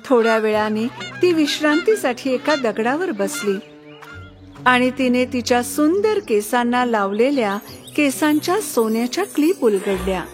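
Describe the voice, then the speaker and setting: A woman's voice: fairly high pitch, expressive, moderate pace, music in the background. A woman narrator reading a scripted fairy tale for children.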